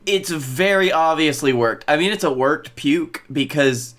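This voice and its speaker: A man's voice: fairly high pitch, a little slow, fairly bright tone. A man in his twenties chatting casually as a podcast co-host. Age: 20s. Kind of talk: casual banter about wrestling. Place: bedroom, on a video call.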